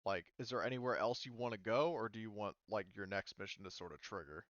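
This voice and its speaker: A man's voice: lowish voice, quick pace, a bit bright, dry recording, lo-fi sound. A man running a tabletop game as game master, explaining rules in a hesitant way with many ums and restarts.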